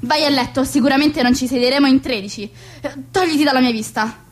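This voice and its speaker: Woman playing a high-voiced, melodramatic society hostess. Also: fast, close to an everyday voice. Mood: exasperated. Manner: theatrical, exasperated, grandiose. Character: fussy, melodramatic middle-aged society hostess and mother. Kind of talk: radio play acting of a 1920s stage comedy.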